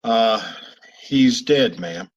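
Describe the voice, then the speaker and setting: A man in a radio drama playing a calm, terse police sergeant who speaks in a flat, clipped, deadpan way. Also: lowish voice, very slow, highs cut off, full character voice. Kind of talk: 1950s radio drama acting.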